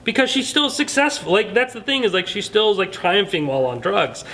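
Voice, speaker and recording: A man's voice: sits high, expressive, fairly quick, bright, forceful tone. A man talking through his opinions on a film in a casual, earnest, conversational way, hedging as he goes. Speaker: film review show host.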